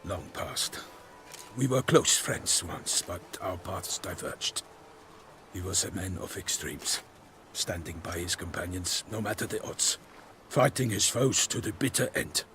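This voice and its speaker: An actor playing a proud, earnest knight errant in a video game, speaking in a formal, flowery, theatrical way. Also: male voice, deep voice, lively intonation, a little slow, bright, forceful tone, slight background noise, heavily acted. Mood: subdued, guarded. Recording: music behind.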